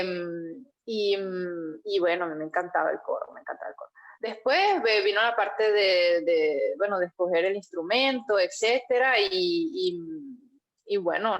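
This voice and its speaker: A young woman from Caracas with a Venezuelan accent, chatting casually as an interview guest and filling her pauses often. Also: mid-range voice. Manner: chatty, many fillers like "este" and "bueno". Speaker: guest musician.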